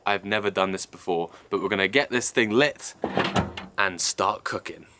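Young male vlogger, lowish voice, casual and self-deprecating, handheld-camera sound from a snowy cabin. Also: normal speed, noisy background. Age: young adult. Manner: chatty, self-deprecating, explains as he goes. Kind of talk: casual vlog narration. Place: snowy mountain cabin, indoors and out. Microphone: handheld camera at arm's length.

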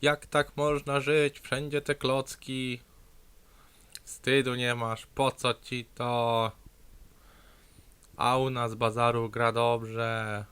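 A man voicing a nagging, exasperated wife who scolds her husband in drawn-out complaints. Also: mid-range voice, flat delivery, a bit bright, clean tone, pitched above the performer's own voice. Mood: exasperated scolding.

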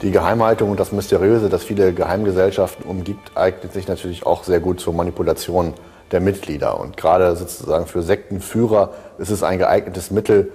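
Deep, fast-talking expert man. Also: steady tone, mellow, husky, faint music behind. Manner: explanatory, uses fillers like sozusagen. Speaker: interviewed expert. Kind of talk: documentary interview.

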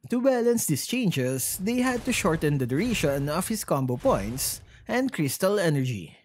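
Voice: medium pitch, sing-song, moderate pace, slight background noise. A gaming video host reading scripted narration about game updates. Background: background music.

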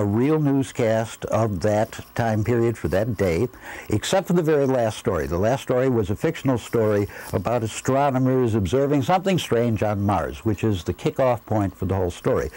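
An American man in his sixties reminiscing in an interview, reflective and halting as he searches for his words. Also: mid-range voice, dark tone, poor audio. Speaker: former radio engineer interviewed. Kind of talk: interview recollection. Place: indoor room, seated.

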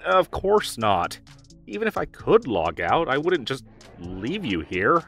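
A young man playing a dorky, nervous, protective gamer best friend in an audio roleplay, with halting, awkward delivery. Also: mid-range voice, very animated, fairly bright tone, faint music behind, exaggerated voice. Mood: earnest, relieved. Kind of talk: audio roleplay drama, conversational.

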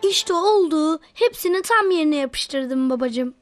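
A woman's voice: high voice, animated, measured pace, soft background music. A woman voicing an eager, wheedling little boy in a children's cartoon, sweet to his elders. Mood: proud, eager.

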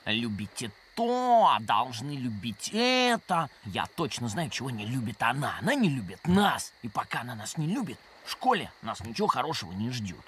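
Sulky cartoon pirate pupil, mocking, grumbly, lots of pitch movement, bit of noise behind. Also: male voice, sing-song, moderate pace, few pauses, a bit bright. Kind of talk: cartoon voice acting.

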